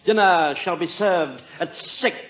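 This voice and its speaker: A man's voice: sits high, fairly bright tone. A man playing an unflappable English butler in a 1950s radio comedy, speaking formally and deferentially. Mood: polite, composed. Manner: formal, measured, courteous. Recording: muffled old radio recording, very roomy.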